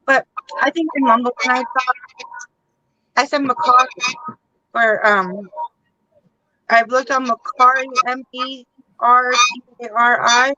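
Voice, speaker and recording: Co-host: woman, high bright voice, teasing and playful. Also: fairly even intonation, measured pace, pauses often, no echo, highs cut off. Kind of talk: casual group chat.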